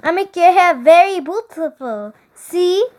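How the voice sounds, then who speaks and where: A woman playing high-pitched bratty girl, mock French accent. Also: expressive, a little slow, a little mellow, slight background noise. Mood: coaxing, breezy.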